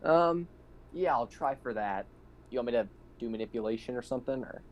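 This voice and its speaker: A man's voice: medium pitch, lively intonation, fairly quick. A man playing a tabletop roleplaying game, chatting casually and thinking aloud hesitantly. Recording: noticeable background noise.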